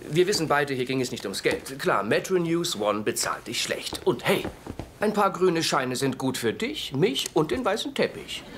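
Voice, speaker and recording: A man's voice: a little high, very animated, moderate pace, a bit bright, a little hiss or hum. A man dubbing a smug, teasing young womanizer in a German sitcom dub.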